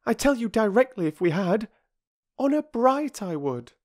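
Earnest polite young girl, put on by a male narrator, much higher than his own voice, breathless pleading, dry close sound. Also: big pitch swings, normal speed, dry recording.

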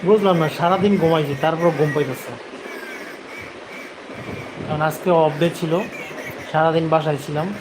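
A young man talks casually to viewers as a live streamer. His voice sits a little high for a man. Clear background noise runs through the recording. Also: fairly even intonation, moderate pace, long pauses.

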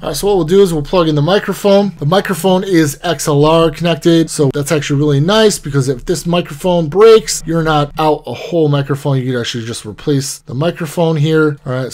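A man's voice: fairly high pitch, a little mellow. Man giving a matter-of-fact product walkthrough. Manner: matter-of-fact, step-by-step explaining. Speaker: product reviewer. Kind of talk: casual product review walkthrough.

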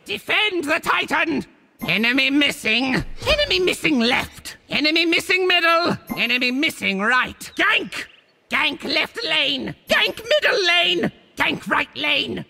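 A man's voice: very high-pitched, vocal fry, pushed and bright, full character voice. A man voicing a boastful, theatrical cartoon monster villain for a video game, with a hammy, swooping delivery.

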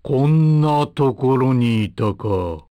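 A man voicing a dignified old warrior with a formal, measured, courteous manner, in anime fighting game style. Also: medium pitch, animated, mellow, no echo. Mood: calm, dignified.

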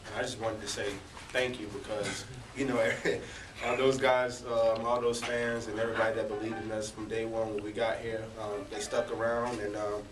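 A college basketball player in his early twenties answers press conference questions in an earnest, hesitant way with frequent pauses. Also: fairly low pitch, little pitch movement. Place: indoor press conference room. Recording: roomy, distant, noisy press room recording.

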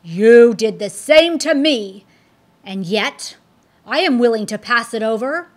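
Storybook villain: woman doing a menacing male fiend, forceful and hateful, higher-set and unhurried. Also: animated, full character voice. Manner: loud, threatening, hateful declamation. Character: menacing male fiend, proud prince of destruction. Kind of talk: storybook read-aloud.

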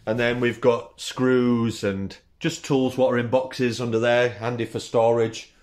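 A British man in his thirties, chatty and relaxed, giving a casual tour of his small indoor woodworking workshop, recorded on the camera mic. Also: mid-range voice, measured pace. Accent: British English. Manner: relaxed, chatty, lists tools in short phrases. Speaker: woodworking channel host.

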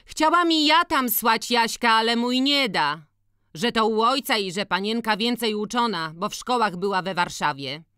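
A man's voice: high-pitched, normal speed, pushed and bright, smooth, no room sound, clean studio sound, voice pushed up. A man voicing a timid, worried peasant woman in an audiobook, plaintive and nagging in rural dialect. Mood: complaining. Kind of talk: dramatized audiobook reading of rural dialect dialogue.

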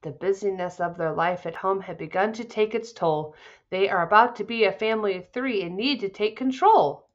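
A woman in her forties reading a children's storybook aloud in an expressive, deliberate way. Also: mid-range voice, muffled recording. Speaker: storybook reader. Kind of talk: children's read-aloud, scripted narration.

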